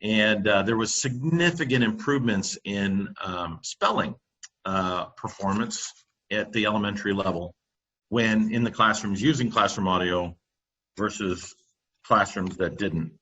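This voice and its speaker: A middle-aged man giving a presentation over a computer microphone, halting often with ums and restarts. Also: lowish voice, sharp tone, muffled recording. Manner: halting, many fillers and restarts. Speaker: company presenter on classroom audio. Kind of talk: webinar presentation.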